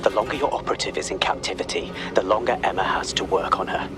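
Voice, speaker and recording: A man's voice: low voice, quick pace, music in the background, lightly acted. An actor playing a cold, scheming male spymaster, who speaks in a clipped, menacing, controlled way.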